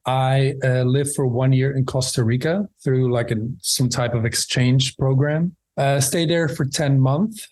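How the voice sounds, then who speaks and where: A man, talking easygoingly as a podcast guest over a remote call, with fillers and pauses. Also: medium pitch, fairly even intonation, darkish tone. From Belgium. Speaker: podcast guest, documentary filmmaker. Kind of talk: casual interview chat. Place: home room with window.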